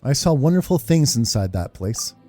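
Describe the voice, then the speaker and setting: Low-key man doing playthrough commentary. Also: big pitch swings, normal speed, mellow. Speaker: gaming video host. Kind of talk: casual playthrough commentary, reading game dialogue aloud. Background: game music.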